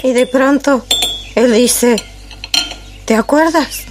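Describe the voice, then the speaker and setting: A woman playing a melancholy, lonely wife in a poetic theatrical monologue, with a measured, reflective delivery. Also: sits high, background noise. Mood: wistful, resigned. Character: melancholy wife recalling a silent, lonely marriage. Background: music.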